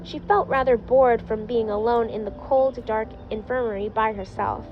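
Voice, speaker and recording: A woman narrates a story in a fairly high voice. She reads from a script, with music playing behind her and a dull, lo-fi sound. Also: steady tone, normal speed, a little hiss or hum. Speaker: fan fiction reader. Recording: dull lo-fi audio.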